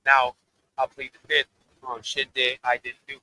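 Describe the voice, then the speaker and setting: A man with a deep voice, a rapper guest talking loosely in a casual interview, laughing and swearing freely. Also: monotone, normal speed, bright, forceful tone, poor audio.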